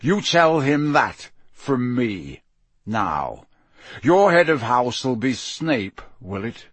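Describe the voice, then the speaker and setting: Storybook old wizard, gruff, gravelly, clipped, menacing, very animated, dry close recording. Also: male voice, slow, no echo, heavily acted. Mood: quiet menace. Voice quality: low, gravelly growl. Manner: barking, clipped, growling. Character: gruff battle-scarred old male wizard teacher, menacing.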